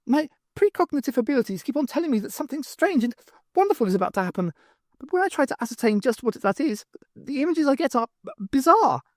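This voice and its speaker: A narrator voicing an excitable, timid furry alien professor with an eager, chittering manner in an audiobook. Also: high voice, quick pace, no echo, clean studio sound, pitched above the performer's own voice. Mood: anxious, puzzled.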